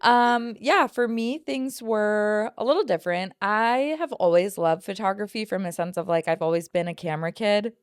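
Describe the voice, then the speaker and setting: A woman's voice: mid-range voice, moderate pace, few pauses, clean tone, no room sound, clean studio sound. A woman in her early thirties, partly raised in Ecuador, co-hosting a casual podcast and telling a personal story with playful crude jokes. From family from Ecuador, grew up there in part. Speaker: podcast co-host and photographer.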